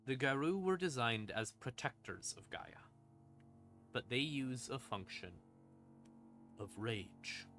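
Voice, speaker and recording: Male lore streamer, unhurried and theatrical, punchy bright tone, informal storytelling with dramatic pauses over faint ambient music. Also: medium pitch, animated. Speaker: streamer explaining game lore. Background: eerie ambient music.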